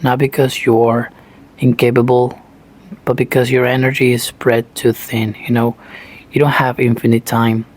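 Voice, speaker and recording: A man from Argentina with an Argentine Spanish accent, a native Spanish speaker giving an earnest motivational talk in English, full of "you know" fillers. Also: mid-range voice. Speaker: self-taught English learner, channel host.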